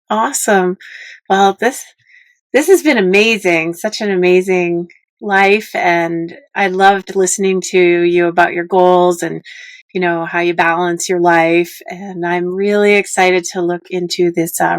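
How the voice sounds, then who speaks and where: A woman hosting a podcast interview, friendly and encouraging with short reactions, her voice going hoarse. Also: on the low side, steady tone, unhurried, dry recording. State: losing her voice. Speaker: podcast host. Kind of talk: casual interview chat.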